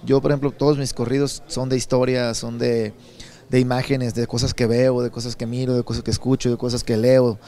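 A Mexican man in his thirties from Sinaloa, answering interview questions at an indoor expo booth into a handheld mic, relaxed and rambling with many repeated words. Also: mid-range voice, brisk. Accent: Sinaloan Mexican Spanish. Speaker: songwriter and singer.

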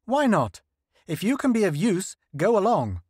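A man's voice: high voice, huge pitch swings, normal speed, a little mellow, slightly rough, dry recording, studio quality, voice pushed up, full character voice. A man voicing an anxious male political leader who presses for answers in a read-aloud story. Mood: inquisitive.

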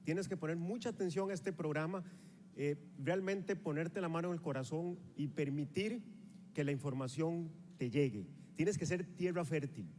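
A man in his forties with a Costa Rican accent, speaking energetically into a handheld microphone as he rallies a live audience. His voice sits high, and it rings out through the long reverb of a big convention hall. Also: moderate pace. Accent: Costa Rican Spanish. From Costa Rica. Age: 40s. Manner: energetic, rallying the audience, walks the stage while gesturing. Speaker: motivational speaker at a sales rally. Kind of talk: motivational stage speech.

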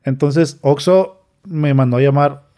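A young man, telling a story as a podcast guest, animated, acting out quoted comments in a raised voice. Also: on the high side, unhurried. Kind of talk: casual storytelling. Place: small studio with a sofa. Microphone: studio mic on a boom arm, wearing headphones.

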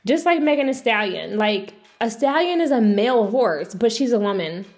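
A woman with a fairly high voice talks casually and bluntly, giving her opinions as a video commentator. She sounds exasperated and swears freely as she goes. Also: animated, moderate pace, a little mellow.

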